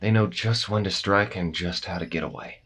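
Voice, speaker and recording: A man's voice: low-pitched, normal speed, a bit bright, slightly rough, full character voice. A man acting an easygoing, matter-of-fact soldier at a checkpoint in an audio roleplay, explaining things casually with a slight hesitancy. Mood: helpful.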